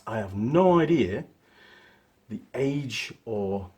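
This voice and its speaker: A man, hoarse from a cold, hosting his workshop channel and chatting casually through a gearbox teardown with plenty of fillers. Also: medium pitch, slow. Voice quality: husky. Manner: chatty, relaxed, rambling with fillers.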